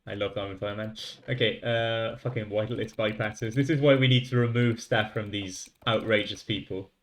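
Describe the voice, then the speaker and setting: A man's voice: fairly low pitch, fairly even intonation, moderate pace. A young man from France with a light French accent, thinking aloud as he codes on a livestream and chats with his viewers. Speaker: streamer. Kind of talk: casual coding livestream.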